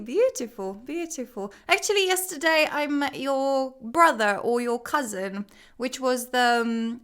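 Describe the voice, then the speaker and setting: A woman's voice: on the high side, fairly bright tone. A woman hosting an English lesson in casual chat, a bit stuffed up with a sore throat, affectionate and chatty. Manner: affectionate, chatty, many fillers. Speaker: English teacher, channel host.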